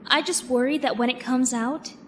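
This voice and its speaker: A woman's voice: sits high, moderate pace, noisy background, natural voice. A woman playing a caring young crew member in an audio drama, speaking casually and expressively. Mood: worried.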